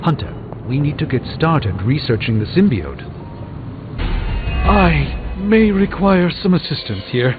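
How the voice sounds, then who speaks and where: An actor playing an erudite, pompous sorcerer with a dry, articulate wit in a video game. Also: male voice, fairly high pitch, big pitch swings, takes it slowly. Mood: businesslike. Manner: pompous, dryly witty, articulate. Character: erudite, haughty, wry male sorcerer. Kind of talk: video game character dialogue. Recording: muffled phone-like game audio under music.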